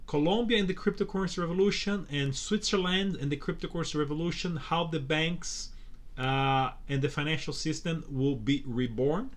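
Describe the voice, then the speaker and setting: A man's voice: on the high side, normal speed. Brazilian guy on a podcast, portuguese is his first language, earnest, repeats himself a lot. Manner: earnest, repeats words while searching for them. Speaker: podcast guest. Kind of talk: casual interview conversation.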